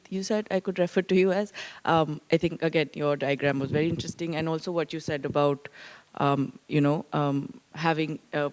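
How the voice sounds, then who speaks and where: A woman fellow giving an earnest floor comment at an academic conference, often saying "like" and "kind of". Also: sits low. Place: conference room.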